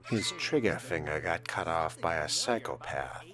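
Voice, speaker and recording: A man's voice: low-pitched, very animated, normal speed. A man voicing a suave, cool-headed vampire fledgling in video game role-play, with droll, formal wording. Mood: dry, composed. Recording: loud music under the voice.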